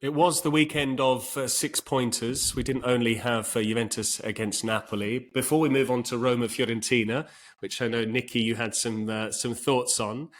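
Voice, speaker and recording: A man's voice: medium pitch, steady tone, moderate pace. A man hosting a casual football podcast, thoughtful and given to restarts.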